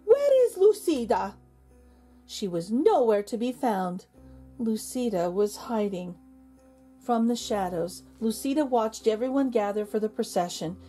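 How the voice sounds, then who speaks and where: A middle-aged woman hosting a children's read-aloud, narrating in an expressive, deliberate, teacherly way. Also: mid-range voice. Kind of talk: storybook narration. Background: music.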